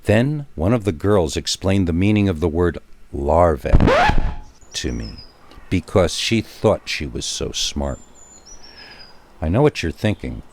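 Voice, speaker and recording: Male horror storyteller, very low voice, first-person and dramatic, with a wry conversational streak. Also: expressive, a little slow, long pauses, a little mellow, a little hiss or hum. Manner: wry, conversational asides to the listener.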